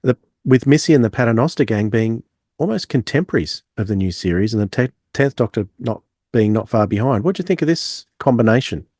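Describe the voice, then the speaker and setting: A man's voice: mid-range voice, lively intonation, a little fast, a little mellow, dry recording, clean studio sound. A man hosting a podcast, presenting and discussing a review. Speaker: podcast host.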